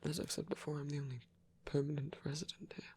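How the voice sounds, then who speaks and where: A performer playing a gentle, caring male vampire priest in an intimate ASMR roleplay, speaking in a breathy whisper with a measured, slightly formal manner. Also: medium pitch, little pitch movement. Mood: gentle, reserved.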